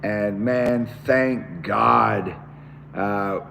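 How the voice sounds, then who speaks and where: Hoarse guy talking straight to his live stream viewers, voice shot, kinda reverby with a low hum. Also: very slow. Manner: excited, talks straight to viewers. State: hoarse, voice worn out from screaming. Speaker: musician hosting a live stream. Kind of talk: casual live-stream chat.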